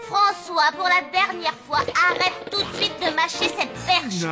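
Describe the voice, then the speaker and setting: A woman voicing a bossy, excitable young boy film director in a French children's cartoon, brash and commanding. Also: very high voice, measured pace, loud music behind the voice. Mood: exasperated, bossy.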